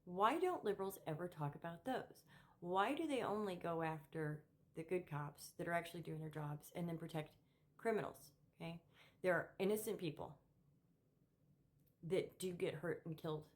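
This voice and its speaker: A middle-aged woman with a low voice speaks off the cuff to her viewers. She is opinionated and emphatic, and her delivery halts and repeats words as she builds each point. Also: normal speed. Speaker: political commentary vlogger. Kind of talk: unscripted opinion monologue to camera.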